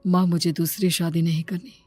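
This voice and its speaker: A woman's voice: fairly low pitch, little pitch movement, moderate pace, darkish tone, dry recording, pitched below the performer's own voice, natural voice. A woman voicing a devoted, stubborn young father in a scripted cartoon story. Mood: firm, reluctant.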